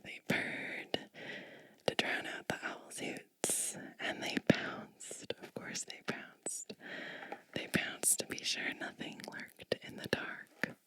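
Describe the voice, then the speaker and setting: A woman reading a picture book aloud as ASMR in a breathy, gentle whisper, lingering on phrases and adding little asides. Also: takes it slowly, no echo, rough recording.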